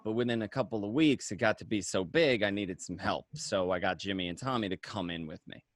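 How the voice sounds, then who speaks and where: A man's voice: lowish voice, fairly quick, no echo, studio quality, lightly acted. A man playing a gangster who narrates his own criminal schemes in a conversational, first-person film narration style. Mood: confiding. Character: Henry, male gangster narrating his drug and robbery schemes. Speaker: actor in an online ensemble read.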